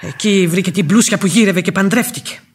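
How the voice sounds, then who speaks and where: An actress playing a tough, fiercely loyal old nursemaid in a radio play, earthy, outspoken and emphatic, with a husky voice. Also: female voice, mid-range voice, slight background noise, natural voice. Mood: bitter, vengeful. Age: older.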